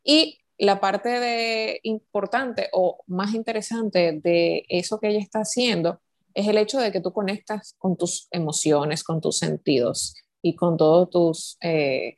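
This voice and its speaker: Dominican woman, co-host, video call, gravelly voice, chatty with many fillers. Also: fairly low pitch, a little slow. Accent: Dominican Spanish. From Dominican Republic. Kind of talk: casual chat on a video call.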